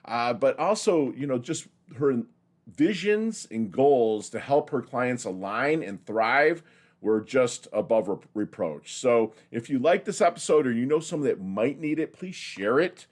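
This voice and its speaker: A man's voice: mid-range voice, animated, measured pace. Hyped-up guy hosting a podcast, chatty and enthusiastic, mid-range voice. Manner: enthusiastic, hype-filled delivery. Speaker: podcast host. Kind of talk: upbeat podcast interview. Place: home near the ocean.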